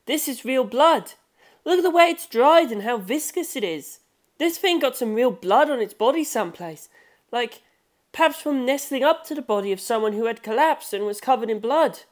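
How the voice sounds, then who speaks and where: A woman's voice: on the high side, animated, normal speed, pitched above the performer's own voice, natural voice. Woman voicing blunt, curious manga artist Kishibe Rohan. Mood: insistent. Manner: assertive, analytical.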